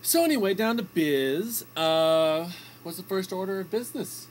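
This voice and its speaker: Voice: medium pitch, expressive, normal speed, slight background noise. A young adult co-hosting an amateur comedy radio show, bantering like a mock-professional showman.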